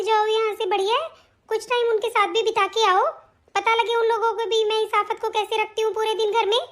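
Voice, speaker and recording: A woman voicing a rude, selfish young daughter-in-law in a family cartoon, scolding in a curt, sarcastic way. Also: very high-pitched. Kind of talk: Hindi family cartoon story dialogue.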